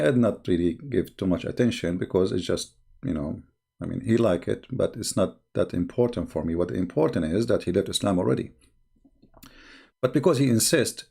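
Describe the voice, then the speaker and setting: A man hosting a live broadcast in a casual, halting way, his voice strained and tired. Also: deep voice, vocal fry, warm tone, slightly rough. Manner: halting, reads viewer messages aloud slowly. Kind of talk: casual live-stream talk.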